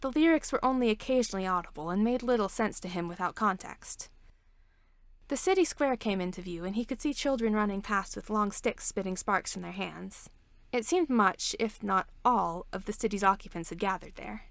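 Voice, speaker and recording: A woman's voice: medium pitch, moderate pace, no room sound. A woman reading a scripted story aloud as narrator. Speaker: podfic reader.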